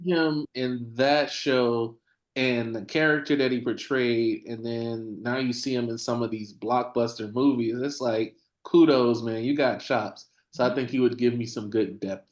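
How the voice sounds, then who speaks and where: A man, a dentist guest on a podcast panel, speaking in a measured, thoughtful way with pauses. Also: medium pitch, steady tone. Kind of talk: casual panel chat.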